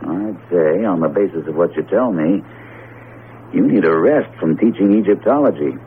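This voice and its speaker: An actor playing a breezy, self-assured psychology professor who talks colloquially in a radio drama. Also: male voice, deep voice, lively intonation, normal speed. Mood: skeptical. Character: breezy, skeptical male psychology professor, hearty eater. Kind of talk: 1970s radio drama acting. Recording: muffled noisy old radio broadcast.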